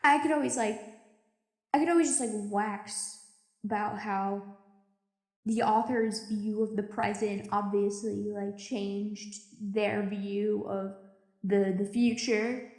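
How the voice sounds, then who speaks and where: A woman acting a lonely, anxious, jaded deep-sea station survivor in an audio drama, rambling and stammering through a confessional recorded log. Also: steady tone, a little mellow. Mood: musing. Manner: rambling, halting, stammering, self-interrupting. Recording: echoey room.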